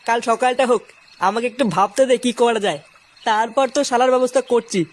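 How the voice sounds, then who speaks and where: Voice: mid-range voice, quick pace, close to an everyday voice. A performer voicing a brash, scheming young village man who trades teasing banter in a comic cartoon.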